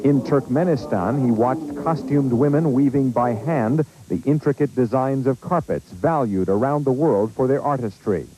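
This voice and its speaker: A man's voice: medium pitch, normal speed, hardly any pauses, very noisy. A man with an American accent narrating a scripted news report as a correspondent.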